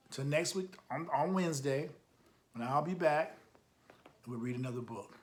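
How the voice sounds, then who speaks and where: A man hosting a children's storytime, reading aloud and chatting to young listeners in an animated, encouraging way, repeating key phrases. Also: a little high. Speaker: host of a children's storytime, youth club coach.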